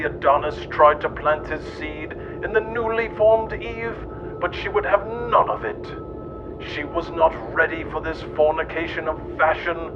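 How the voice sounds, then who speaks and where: A man in his thirties giving a scripted, mock-solemn storybook narration in a deadpan, grandiose way. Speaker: host reading comic mock-history. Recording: dull, noisy lo-fi track with rumble.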